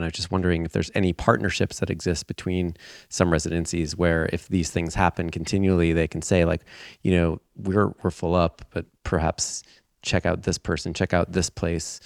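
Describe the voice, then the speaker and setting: A man's voice: low voice, creaky, no echo, studio quality. A man in the audience asking an earnest, polite question. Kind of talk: question from the floor.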